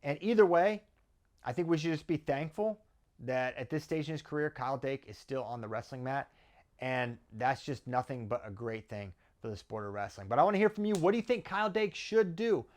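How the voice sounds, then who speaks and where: An American man hosts a sports commentary in a mid-pitched voice. He talks conversationally, working through his argument with rhetorical questions and quick check-in tags. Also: animated, darkish tone. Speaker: wrestling commentator and host. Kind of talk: conversational sports analysis to camera.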